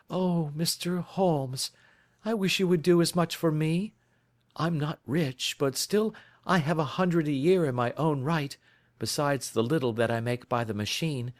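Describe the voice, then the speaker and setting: A man's voice: on the high side, pitched above the performer's own voice, close to an everyday voice. A man voicing a nervous, earnest young woman in an audiobook, talking in a pleading, breathless way. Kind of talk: audiobook character reading.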